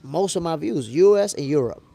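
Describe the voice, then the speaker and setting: An American man with a gravelly voice hosting a casual livestream, talking to viewers in an animated, slangy way. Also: fairly high pitch, moderate pace, no room sound. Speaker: host of a boxing show livestream, reading viewer comments. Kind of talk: casual livestream chat with audience.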